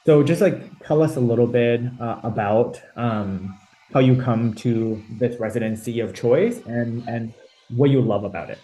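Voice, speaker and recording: A man with a mellow, warm, mid-pitched voice moderates an online panel. He rambles through his questions with frequent fillers and restarts over a video call. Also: measured pace, rough recording. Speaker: host and moderator.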